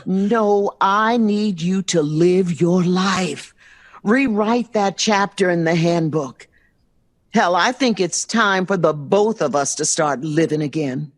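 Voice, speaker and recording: A woman's voice: on the low side, slow pace. An American actress playing a hurt, loving middle-aged mother in an audio drama, emphatic and emotionally open. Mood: earnest, firm.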